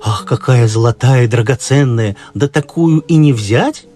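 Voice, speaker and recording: A man's voice: mid-range voice, measured pace, dark tone, lightly acted. A man voicing a dutiful young prince in a fairy tale, respectful and a little plaintive. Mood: enchanted. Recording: music behind the voice.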